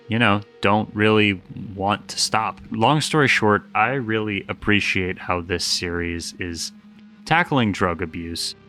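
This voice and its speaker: Deep, bright-voiced man over music. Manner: informal, opinionated, mild swearing. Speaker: host. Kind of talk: video essay commentary with scripted story recap and casual asides. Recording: music under the voice. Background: background music.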